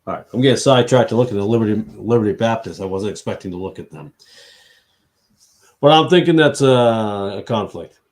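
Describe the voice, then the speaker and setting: Stuffy, tired man with a low voice. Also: animated, fairly quick, a little mellow. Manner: rambling, many restarts and fillers, thinking aloud. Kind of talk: late-night livestream talk on faith.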